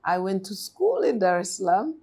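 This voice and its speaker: A woman's voice: medium pitch, very animated, moderate pace, darkish tone, breathy edge. A woman in her forties from Tanzania, talking thoughtfully as a podcast guest with many ums and restarts. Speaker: guest, nonprofit CEO. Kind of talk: podcast interview.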